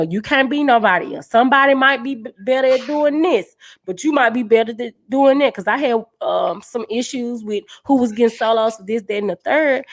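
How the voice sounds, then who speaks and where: A woman with a deep voice, hosting a casual podcast chat and speaking in an earnest, encouraging way as a dance team leader.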